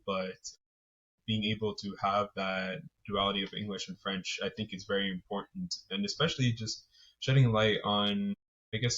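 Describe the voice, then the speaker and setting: A man's voice: deep voice, a bit bright, lo-fi sound. A man born and raised in Montreal, answering as a podcast guest in an easy, fluent way with casual fillers. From Montreal, Quebec. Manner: fluent, casual, uses fillers like "I guess" and "right". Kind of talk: casual interview.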